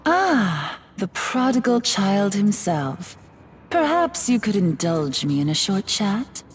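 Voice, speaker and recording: An actress voicing an elegant, scheming fallen goddess in a video game, with a refined, condescending manner. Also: female voice, mid-range voice, sing-song, slow pace. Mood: silky. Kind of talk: video game cutscene voice acting. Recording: game audio with music behind.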